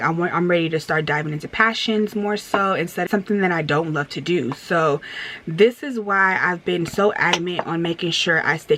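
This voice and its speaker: A woman's voice: fairly low pitch, a bit bright. A woman in her thirties chatting candidly in a storytime vlog, rambling with many fillers and restarts and turning emphatic as she vents. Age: 30s. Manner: emphatic when venting. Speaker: vlogger. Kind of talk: casual storytime chat while getting ready.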